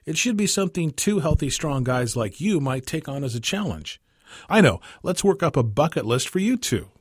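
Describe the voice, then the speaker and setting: Man reading an eager adult son in a radio story, pitched up, husky, persuasive. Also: big pitch swings, moderate pace, dry recording, clean studio sound. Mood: urging.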